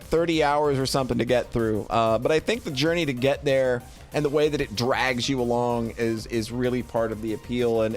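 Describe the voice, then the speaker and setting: A man giving casual, rambling livestream commentary, thinking aloud in an informal, halting way. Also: fairly high pitch. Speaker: streamer hosting a tier list of games.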